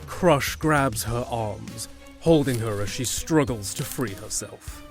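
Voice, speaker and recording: A man's voice: medium pitch, takes it slowly, vocal fry, loud music behind the voice. A man narrating a scripted fantasy audio drama in a descriptive storytelling manner.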